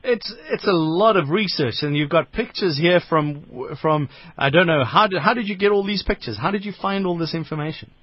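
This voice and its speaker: A man's voice: a little high, normal speed, dry recording, lo-fi sound. A man hosting a radio sports show, asking casual questions in a studio. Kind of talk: radio interview.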